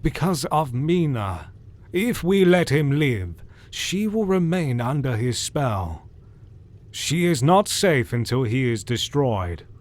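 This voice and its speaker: An actor playing an old male vampire-hunting professor who speaks in a measured, calm, grave manner in an audio drama. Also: medium pitch. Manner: slow, measured hypnotist's cadence. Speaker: Doctor Van Helsing.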